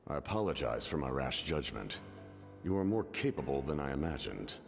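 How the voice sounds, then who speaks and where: A very deep, gravelly, commanding villain. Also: male voice, very animated, in a large hall, soft background music, heavily acted. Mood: guarded, imposing. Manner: measured, guarded, formal, imposing. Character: cunning, cold, commanding man, a wounded Fatui officer. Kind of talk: dramatic video game voice acting.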